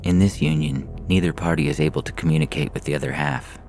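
A man's voice: very deep voice, fairly even intonation, quick pace, creaky, darkish tone, soft background music. A man reading a science fiction story aloud as a scripted narration.

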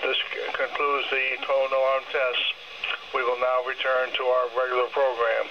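A male weather radio announcer reads a formal scripted announcement in a halting way. His delivery is extremely monotone, heard through a dull radio speaker with clear noise. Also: mid-range voice.

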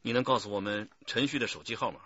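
Deep-voiced calm detective chief. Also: male voice, no room sound, muffled recording. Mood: calm, probing. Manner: measured questioning. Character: calm middle-aged police detective chief. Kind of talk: radio drama acting.

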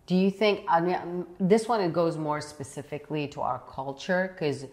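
Low-voiced young woman host, animated. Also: moderate pace. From Iran. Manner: animated, searching for words, restarts often. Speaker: interview host. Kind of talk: conversational interview. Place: bright living room indoors. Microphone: lapel mic.

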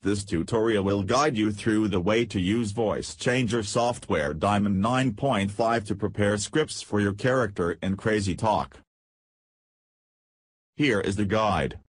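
A man reading a scripted, step-by-step software tutorial as a voice-over narrator. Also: fairly low pitch, a little slow, husky, no room sound.

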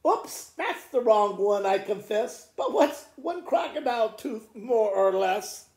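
A man's voice: high voice, sing-song, slow pace, a little mellow, heavily acted. A man voicing a careless, gleeful dentist from a children's poem, jolly and mocking. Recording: roomy indoor echo.

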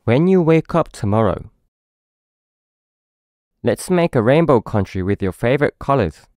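A man's voice: medium pitch, sing-song, a little slow, darkish tone, no room sound, clean studio sound. A man with a British accent reading a children's story aloud, expressively, as if to a young child. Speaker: storybook narrator.

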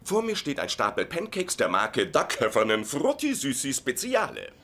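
An actor playing a goofy, boastful working-class husband in a dubbed sitcom, with a playful, exaggerated delivery. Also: male voice, medium pitch, huge pitch swings, normal speed, background noise. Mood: playful bravado.

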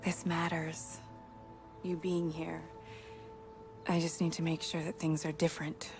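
A woman's voice: low-pitched, little pitch movement, unhurried. A woman playing a worried, stubborn younger sister and young mother in a naturalistic video game scene, plain-spoken and conversational. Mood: subdued, earnest. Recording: music under the voice.

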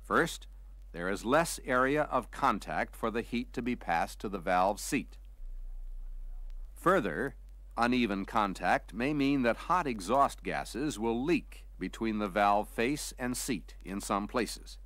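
Deep-voiced instructional film narrator, man. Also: animated, a bit bright, no room sound. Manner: measured, clearly articulated, explanatory. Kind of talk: scripted instructional narration, vintage training film.

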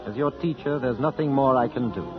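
A male actor plays a suave, solemn music teacher in love in a vintage radio drama. He speaks in a measured, tender way at a middling pitch. The old recording is dull, with clear music behind. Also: natural voice. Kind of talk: 1940s radio drama acting.

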